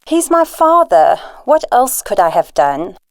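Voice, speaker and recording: A woman's voice: on the high side, very animated, unhurried, a little mellow, no echo. A woman playing the earnest, regretful daughter of a mob boss in a radio drama.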